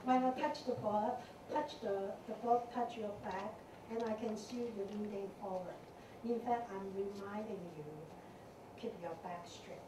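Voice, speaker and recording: A woman teaching a meditation class, speaking in a gentle way with simple phrasing and many fillers. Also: mid-range voice. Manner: slow, gentle, non-native phrasing with fillers. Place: temple meditation hall. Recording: echoey hall, distant mic, noisy.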